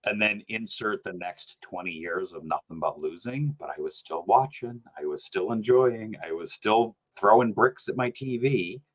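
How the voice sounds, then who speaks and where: A man, a podcast guest chatting casually, animated and rambling, laughing often. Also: lowish voice, moderate pace, hardly any pauses, rough recording. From New Hampshire. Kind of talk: casual sports chat.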